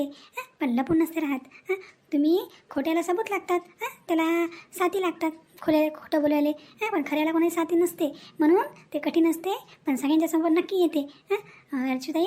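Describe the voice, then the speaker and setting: A woman acts a consoling cartoon sister-in-law. Her voice is extremely high with a soft, mellow edge. She moralizes gently and adds questioning hums. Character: reassuring, philosophical sister-in-law in a family cartoon. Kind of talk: cartoon family drama dialogue.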